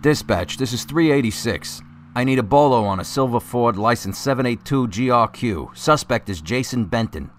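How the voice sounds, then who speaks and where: A man playing a stern, brisk police officer in a radio drama, with a curt, commanding manner. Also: medium pitch, lively intonation, slight background noise, natural voice. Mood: businesslike.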